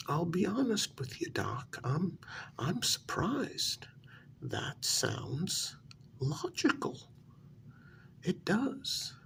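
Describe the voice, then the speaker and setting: A man playing an eerily calm asylum patient in a soft ASMR role-play, breathy and gravelly, with a measured, hesitant, quietly condescending delivery. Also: on the high side, a little hiss or hum, exaggerated voice. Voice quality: gravelly, breathy, half-whispered. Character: eerily calm male asylum patient who believes he creates reality.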